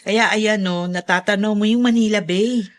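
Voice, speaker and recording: A woman in a radio drama playing a gentle, honest mother, attentive and explaining. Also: mid-range voice, fairly even intonation, moderate pace, natural voice. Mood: gentle, concerned.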